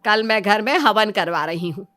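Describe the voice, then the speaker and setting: A woman's voice: mid-range voice, moderate pace, pushed and bright, faint music behind, natural voice. A woman voicing a stern, weary middle-aged mother-in-law who admonishes firmly in a cartoon family story. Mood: commanding. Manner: admonishing, firm.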